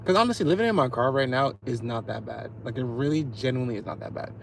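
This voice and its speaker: A man livestreaming from inside his car, talking to his chat in a laid-back way with mumbled asides that turn hyped now and then. His voice has a gravelly edge at mid pitch, and faint road noise hums underneath. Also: expressive, fast talker. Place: in a car at a drive-through.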